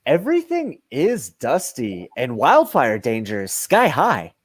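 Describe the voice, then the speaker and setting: A man's voice: medium pitch, wildly swooping pitch, unhurried, slightly rough, dry recording, clean studio sound, heavily acted. A middle-aged man playing a confident camper hero in an audio drama, with an emphatic manner. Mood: concerned. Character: confident male camper hero striking deals. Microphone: video call.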